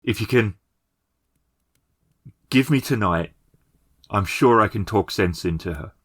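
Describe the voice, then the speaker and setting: Tabletop game role: practical, placating male villager, acted by a man, low-pitched, close recording. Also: a little slow, dry recording. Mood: reasonable, placating. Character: pragmatic, money-minded village brother, persuasive and respectful.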